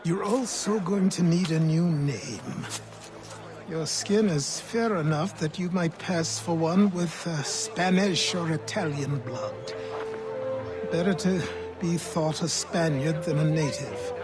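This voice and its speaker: Slow-talking, stern old mentor. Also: male voice, fairly high pitch. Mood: firm, instructing. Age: older. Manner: measured, instructive, commanding. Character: weary, stern old mentor, worldly and guarded man. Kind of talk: video game cutscene acting. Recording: loud background music.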